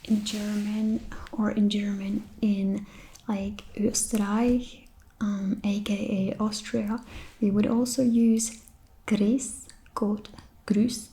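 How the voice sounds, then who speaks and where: A Czech-accented woman whose first language is Czech, giving a soft-spoken ASMR lesson in a whispery voice with hesitant ums and likes. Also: medium pitch, level tone, takes it slowly. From Czech Republic. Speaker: ASMR host.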